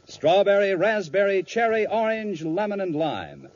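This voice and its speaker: A man's voice: high voice, very animated, a little slow, darkish tone. A man announcing a 1950s radio advertisement with polished, enthusiastic salesmanship. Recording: muffled old radio recording with hiss.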